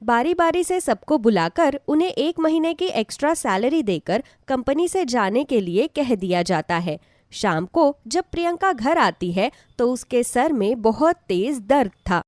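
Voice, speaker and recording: A woman's voice: fairly high pitch, very animated, unhurried, darkish tone, no room sound, studio quality. A woman narrating a scripted devotional story as a storyteller.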